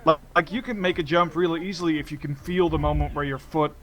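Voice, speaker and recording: A man co-commentating on a game stream, talking casually and analytically in measured, explanatory phrases. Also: fairly high pitch, fairly even intonation, crowd noise.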